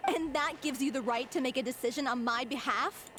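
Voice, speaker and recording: A woman's voice: high-pitched, brisk, fairly bright tone, slight background noise. A woman playing a determined, earnest young stage actress in a video game, firm and stubborn. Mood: indignant. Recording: music behind the voice.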